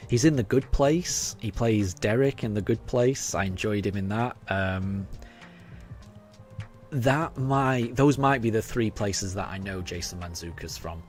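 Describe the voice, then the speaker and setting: A man in his forties from Yorkshire with a faded Yorkshire accent, chatting hesitantly with his live-stream chat over many ums and restarts while he plays. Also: low voice. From Yorkshire, England. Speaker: game streamer. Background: game music.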